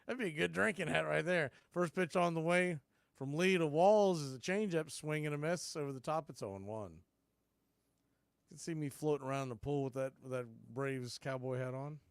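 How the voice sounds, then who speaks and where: A man calling a baseball game live as a play-by-play announcer, mixing clipped pitch calls with folksy, casual asides. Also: normal speed, gravelly, smooth, no echo. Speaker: baseball play-by-play announcer for a fan radio stream.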